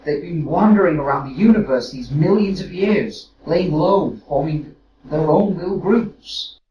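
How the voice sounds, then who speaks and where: An actor playing a casual, flustered robot soldier in an audio drama, hesitant and rambling, recorded roomily from a distance. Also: male voice, fairly high pitch, lively intonation, measured pace, muffled recording. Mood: exasperated. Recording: roomy, distant mic with noise.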